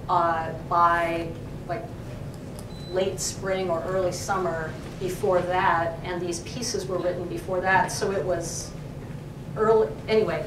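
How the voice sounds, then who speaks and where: Woman, professor, audience Q&A, echoey room, heard from afar. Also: lowish voice. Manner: thoughtful, with frequent fillers. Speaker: professor and co-editor. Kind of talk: library talk, answering questions. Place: library event room. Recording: echoey room, distant mic, noisy.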